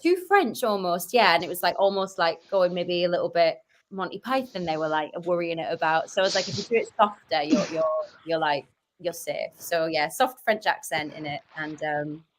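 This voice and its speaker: A woman's voice: on the low side, moderate pace, slight background noise. Chatty Cumbrian woman, young. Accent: Northern English, Cumbrian. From Barrow-in-Furness, Cumbria, England. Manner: chatty and animated, many restarts and quoted asides. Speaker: guest, sketch comedian and actress. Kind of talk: casual podcast chat.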